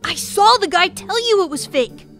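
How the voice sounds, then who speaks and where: Woman voicing a squeaky, brash little rat boy. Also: animated, normal speed, fairly bright tone, soft background music, heavily acted. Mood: taunting. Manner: cheeky, bossy, slangy. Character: brash, impish young rat boy, pushy street kid. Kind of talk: theatrical audiobook character acting.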